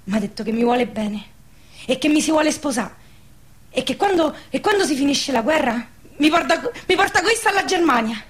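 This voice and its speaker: A woman's voice: high-pitched, lively intonation, brisk. An actress playing a naive, lovestruck young village girl, speaking simply and hesitantly in a rural southern Lazio dialect. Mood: shy, lovestruck. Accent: rural southern Lazio, central Italy. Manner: simple, hesitant, repeating words. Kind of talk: dialect stage drama acting.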